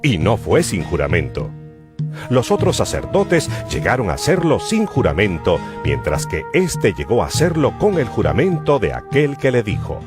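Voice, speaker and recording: Male scripture narrator. Deep, highly expressive, declamatory delivery over clear background music. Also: normal speed.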